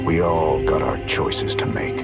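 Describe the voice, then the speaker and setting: An actor playing a rugged, weary but determined rebel commander in a video game, plain-spoken and folksy. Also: male voice, sits low, huge pitch swings, a little slow, exaggerated voice. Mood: grave. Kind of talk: video game drama acting. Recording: voice under loud game music.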